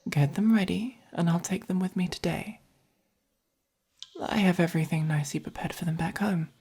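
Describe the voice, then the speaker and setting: Woman as a soft, breathy English vampire. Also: fairly low pitch. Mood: curt, commanding. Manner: slow, deliberate, commanding. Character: haughty, possessive but caring vampire buying a human pet. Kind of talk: soft-spoken ASMR roleplay.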